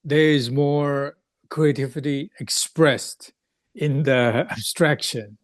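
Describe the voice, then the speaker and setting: A man speaking in a panel talk, hesitant and halting. He stops and restarts as he searches for words. His voice sits mid-range and sounds dry and close. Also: medium pitch, fairly even intonation, no room sound. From Burma, raised in Thailand. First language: Thai. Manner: hesitant, many fillers and restarts. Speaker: artist.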